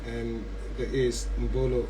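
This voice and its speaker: A man's voice: medium pitch, slow. A man hosting a radio book show, talking casually with frequent ums and repeated words. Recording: distant mic with steady background noise.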